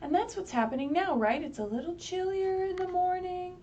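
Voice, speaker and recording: A woman's voice: extremely high pitch, normal speed, dark tone, dull audio. A woman leading a children's story time, reading aloud in a sing-song way and patiently explaining in simple words with frequent questions to the listeners. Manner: sing-song, asks questions and checks in with "right?". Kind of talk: read-aloud picture book story time for young children. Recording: distant mic, faint background noise, livestream.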